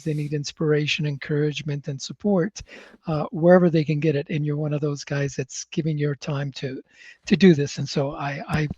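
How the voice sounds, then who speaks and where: A man with a slightly high voice and Spanish as his first language speaks as a podcast guest. He tells his story in a measured, reflective, conversational way with many pauses. The recording is dry and a little dull. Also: darkish tone, husky, no echo. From born in Chile, grew up in the United States.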